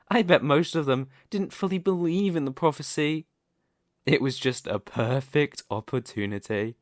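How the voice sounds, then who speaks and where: Guy doing a smug demon king for asmr roleplay, real slow and taunting, medium pitch, close dry mic. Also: no room sound, natural voice. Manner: slow, mocking, theatrical, persuasive.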